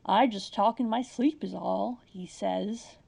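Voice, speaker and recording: A woman's voice: medium pitch, slow pace, creaky, darkish tone, slightly rough, heavily acted. A woman playing an anxious, long-suffering housewife who speaks in a fretful, meek way in a comedy audio drama. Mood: worried.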